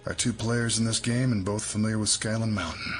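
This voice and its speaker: An actor playing a guarded, protective senior FBI man in an audio drama, speaking in a measured, questioning way. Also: fairly low pitch, fairly even intonation, faint music behind, lightly acted. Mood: probing.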